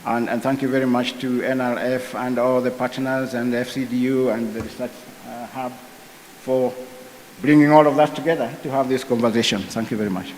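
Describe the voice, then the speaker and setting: A man speaking as a panel speaker at a conference, measured and halting with frequent pauses. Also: medium pitch, steady tone, a little mellow, in a large hall, a little hiss or hum, poor audio. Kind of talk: conference speech. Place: conference hall.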